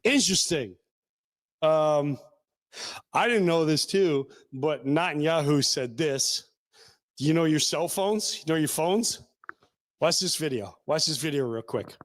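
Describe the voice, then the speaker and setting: Sarcastic guy hosting a talk show, kinda high voice, slow drawn out talking. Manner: sarcastic, animated, slips into mocking voices. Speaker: podcast host. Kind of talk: casual opinionated talk show.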